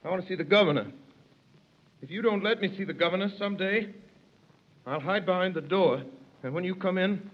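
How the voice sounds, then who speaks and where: A man's voice: sits high, a little fast, pauses often, close to an everyday voice. An actor playing a desperate young male prisoner in an old radio drama, pleading and insisting he is innocent. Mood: defiant. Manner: pleading, insistent, shouting for help. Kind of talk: 1930s radio drama acting. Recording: dull old radio recording.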